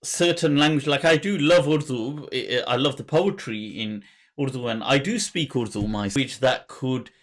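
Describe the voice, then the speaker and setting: A man hosting a podcast interview, speaking in a thoughtful, halting way as he searches for words. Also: medium pitch. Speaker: podcast host. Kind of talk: conversational interview.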